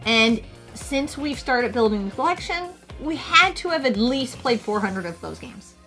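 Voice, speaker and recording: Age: 40s. Sex: woman. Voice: bright, a little high. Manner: chatty. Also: very animated, moderate pace, faint music behind. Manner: chatty, lays out figures and averages. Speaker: co-host of a retro gaming channel. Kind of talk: casual chat.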